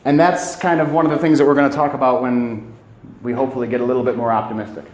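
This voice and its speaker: A man presenting an informal educational talk, speaking emphatically and haltingly, often repeating key phrases for weight. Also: mid-range voice, fairly even intonation, a little mellow, dull audio, slight background noise. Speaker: presenter giving a climate talk. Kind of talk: informal educational lecture to a live audience. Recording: echoey room.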